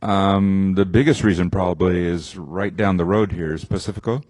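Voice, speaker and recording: Low-voiced man speaking on a conference panel. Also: moderate pace, no echo. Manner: relaxed, conversational with frequent restarts. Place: conference venue.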